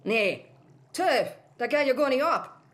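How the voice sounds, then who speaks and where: A muddled witch in a comic radio play. She chants and mutters slowly in Low German rhyme, bossy and theatrical, with a very animated melody. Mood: confused, flustered. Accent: Low German, northern Germany.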